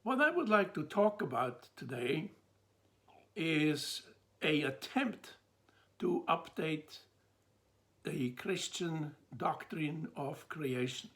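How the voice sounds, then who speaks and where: An older man with a Swiss accent speaks very slowly and deliberately, breaking his thoughts into short pauses. His voice sits a little high for a man and carries a gravelly rasp. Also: big pitch swings, fairly bright tone. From Switzerland. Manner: measured, pausing between phrases. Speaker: theologian presenting a talk. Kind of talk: slow, deliberate lecture to camera.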